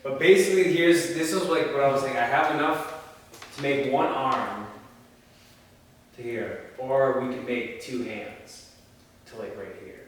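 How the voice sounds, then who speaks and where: Mid-pitched man, far off and echoey. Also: a little slow, pauses often. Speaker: film crew member handling props. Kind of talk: casual explaining. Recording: distant, echoey hall with faint noise.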